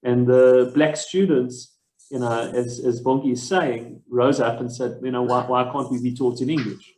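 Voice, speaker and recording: Man from South Africa, interviewer, measured and explanatory, mid-pitched voice, echoey room. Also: steady tone, a little mellow, slight background noise. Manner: explanatory, measured, frequent fillers. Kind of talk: explaining context to an online audience.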